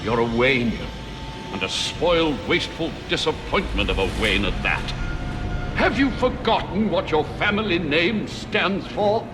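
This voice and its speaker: An actor playing a stern, haughty accuser in a video game, full of reproach and disappointment. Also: male voice, on the high side, expressive, unhurried. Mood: scornful. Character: stern, scolding male accuser, contemptuous and disappointed. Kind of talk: video game hallucination scene acting. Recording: music behind the voice.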